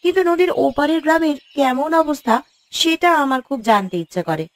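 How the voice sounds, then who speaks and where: A woman's voice: high voice, sing-song, a little fast. A woman playing a concerned village housewife who talks solemnly and with sympathy in a cartoon fairy tale. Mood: grave, gossiping. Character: concerned village housewife gossiping gravely about neighbours.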